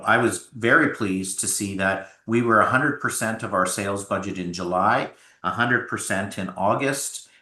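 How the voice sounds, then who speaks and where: A man's voice: lowish voice, bright, forceful tone, roomy sound. A man from Alberta, the founder of a home builder, talking upbeat in a business interview with frequent fillers.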